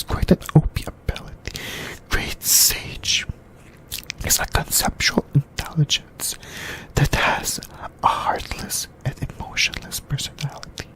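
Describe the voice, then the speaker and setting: A host whispering an ASMR discussion in a breathy, unvoiced voice, speaking in halting short phrases and repeating words. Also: mid-range voice, fairly bright tone, poor audio. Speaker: host of an anime discussion channel.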